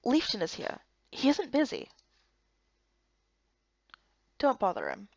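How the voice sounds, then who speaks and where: A woman's voice: on the high side, huge pitch swings, normal speed, gravelly, dry recording, dull audio, exaggerated voice. A woman voicing a reserved, blunt man of few words, terse and subdued, for a voiced story game. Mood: flat, unhelpful.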